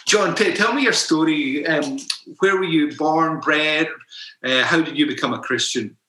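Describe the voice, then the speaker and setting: Scottish man, podcast host, bright tone, teasing chat, echoey room. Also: on the high side, unhurried. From Scotland. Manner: friendly, teasing, chatty. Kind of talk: casual interview chat.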